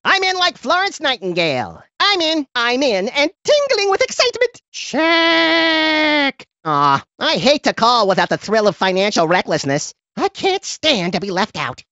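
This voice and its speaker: Game role: gleefully violent little rabbit creature, theatrical and flippant, wildly swooping delivery, dry slightly dull recording. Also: male voice, extremely high pitch, measured pace, few pauses, dry recording, heavily acted. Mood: gleeful menace. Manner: flippant, theatrical, deadpan absurd menace. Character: manic, violent, gleeful cartoon rabbit-like creature, male, small. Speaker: video game character. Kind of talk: comedic video game voice acting.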